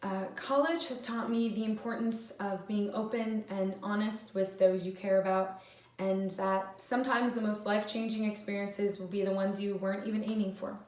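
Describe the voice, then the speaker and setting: A woman's voice: mid-range voice, fairly even intonation, normal speed, a little mellow, distant mic. Thoughtful young woman, roomy sound.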